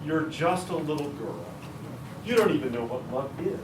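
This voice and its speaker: A man's voice: a little high, animated, normal speed, close to an everyday voice. A man playing a stern, domineering senator father in a staged reading, scolding and commanding. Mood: angry, forbidding. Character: stern, domineering senator father forbidding his daughter's romance. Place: live restaurant reading. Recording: roomy, distant mic, noisy restaurant.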